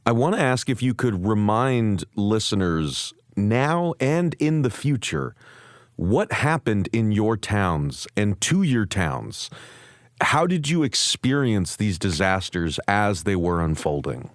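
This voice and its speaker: Low-pitched, slow-talking man hosting an interview. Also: big pitch swings, no room sound, clean studio sound. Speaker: podcast host and interviewer. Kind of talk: earnest roundtable interview.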